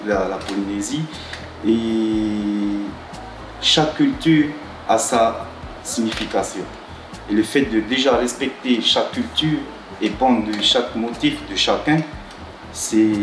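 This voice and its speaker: A tattoo artist from Tahiti, talking about his work in a halting way, with many restarts and fillers. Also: lowish voice, reverberant, poor audio. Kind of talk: talking-head interview.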